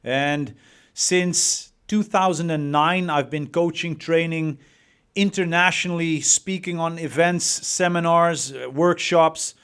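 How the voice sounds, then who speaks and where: High-pitched man with a Dutch accent. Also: steady tone, takes it slowly, fairly bright tone, smooth. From Netherlands. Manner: emphatic, repeats words, rhetorical questions. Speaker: dating coach. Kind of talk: motivational coaching talk.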